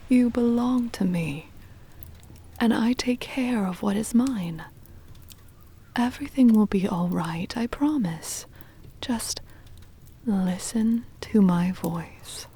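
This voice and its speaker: A woman voicing a possessive, doting vampire mistress in a soft, breathy ASMR roleplay, soothing, hypnotic and commanding. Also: mid-range voice, reverberant. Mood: concerned, possessive. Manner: slow, soothing, hypnotic, controlling. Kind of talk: ASMR roleplay, intimate whispering and murmuring.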